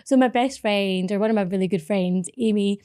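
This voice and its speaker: A Scottish woman, a podcast host, chatting in a casual interview, animated and quick to laugh, with Scots words in her talk. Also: mid-range voice, smooth. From Scotland.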